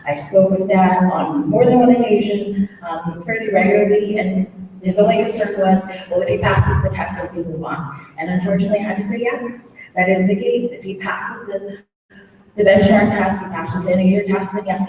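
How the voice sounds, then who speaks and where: A woman who teaches fifth grade, giving an earnest, measured public comment with many pauses and fillers. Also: mid-range voice, darkish tone, a little hiss or hum. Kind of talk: public comment at a town hall. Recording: echoey hall, mic far off.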